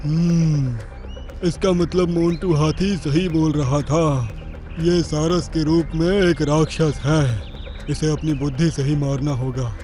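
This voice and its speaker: A man voicing a shrewd lion king in a children's cartoon, with deliberate, regal delivery. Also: on the high side, fairly even intonation, dark tone, faint music behind. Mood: pondering. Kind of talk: children's cartoon character acting.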